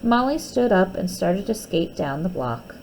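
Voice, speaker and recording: A woman reads a children's story aloud. Her intonation is very lively, with some creak in the voice, and there is faint noise behind her. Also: lowish voice, unhurried, rough recording. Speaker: storybook reader.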